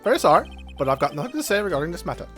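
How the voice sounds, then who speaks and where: A man's voice: a little high, big pitch swings, rapid-fire, soft background music. Man putting on a swooping, smug, boastful businessman. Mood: dismissive. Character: boastful art-loving businessman, smug, theatrical, dismissive. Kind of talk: improvised video game character voice.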